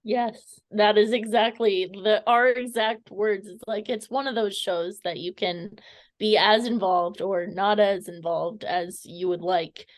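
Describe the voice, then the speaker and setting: A woman speaks slowly in a mid-range voice as an interviewer. Her delivery is casual and conversational, a little tentative as she works out each thought. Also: medium pitch, fairly even intonation.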